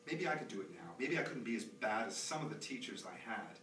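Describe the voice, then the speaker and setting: A man's voice: on the low side, sing-song, fast talker, fairly bright tone. A man performing a theatrical monologue as an anxious, chatty, nostalgic young dad, rambling expressively in a live reading. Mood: rueful. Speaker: author performing own monologue. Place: small theatre stage, recorded live from a distance. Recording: roomy, distant, noisy live theatre recording.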